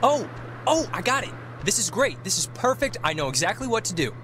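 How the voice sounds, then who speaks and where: A young American man playing a goofy, excitable, rambling teenage stoner in a video game. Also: high-pitched, brisk, background noise. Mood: excited. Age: young adult. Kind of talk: naturalistic video game dialogue. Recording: music behind the voice.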